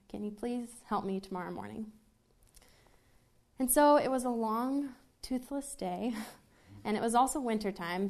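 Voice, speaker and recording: A woman's voice: mid-range voice, darkish tone. A woman telling an earnest, laugh-filled personal story to a live audience.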